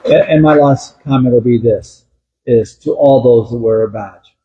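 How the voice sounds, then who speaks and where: A man's voice: mid-range voice, normal speed, mellow, dull audio, slight background noise. A man speaking as sheriff at a building dedication, folksy and thankful. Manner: folksy, self-deprecating, thankful. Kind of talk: public speech at a ceremony.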